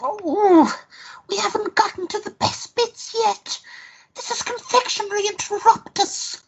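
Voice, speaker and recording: A woman playing a high, cooing, melodramatic lover. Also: unhurried, highs cut off. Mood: possessive, indignant. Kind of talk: radio play acting.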